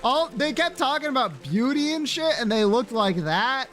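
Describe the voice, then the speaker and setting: A man's voice: extremely high pitch, sing-song, normal speed, a bit bright. A man livestreaming a game, chatting casually and bluntly with viewers in slangy, sweary, playfully opinionated talk. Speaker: livestreamer playing a video game. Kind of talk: casual gaming chat, reacting to viewers.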